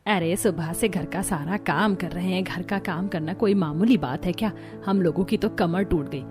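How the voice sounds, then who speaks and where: An older mother-in-law from a family cartoon, played by a woman. The voice is plaintive and expressive, with faint music underneath. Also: fairly low pitch, normal speed, lightly acted. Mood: irritated. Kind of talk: Hindi cartoon story acting.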